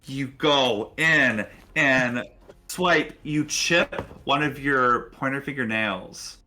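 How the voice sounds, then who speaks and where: A man's voice: mid-range voice, takes it slowly, pushed and bright, a little hiss or hum. A man narrating a tabletop roleplaying game as the game master.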